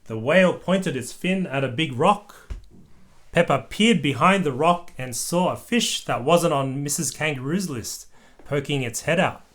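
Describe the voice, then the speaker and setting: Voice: mid-range voice, lively intonation, a little slow, a bit bright. An adult reading a children's picture book aloud, chatting and prompting a small child with simple questions.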